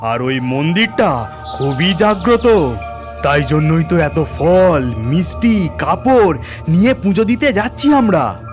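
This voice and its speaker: A man's voice: fairly high pitch, big pitch swings, slow, faint music behind. A man voicing an earnest, devout village husband in a cartoon story. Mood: reassuring.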